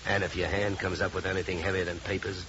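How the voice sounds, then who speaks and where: A man's voice: deep voice, flat delivery, fast talker, natural voice. An American man playing a wry, hard-boiled private detective with clipped, tough talk in a 1950s radio drama. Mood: tough, wary. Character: wisecracking hard-boiled male private detective, cool and wary. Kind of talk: 1950s radio drama acting, first-person noir narration. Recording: muffled, very noisy 1950s radio recording.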